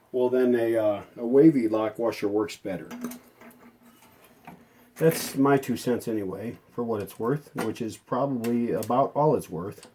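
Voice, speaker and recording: Man, middle-aged, soft dark tone, medium pitch, casual workshop narration, folksy, chatty, thinking aloud. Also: a little hiss or hum. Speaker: hobbyist restorer presenting a repair.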